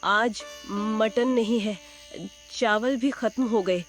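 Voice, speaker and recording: Cast: woman as a fretful cartoon wife, higher voice, music loud behind. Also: slow. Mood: worried. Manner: anxious, pleading. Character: worried young village wife and mother.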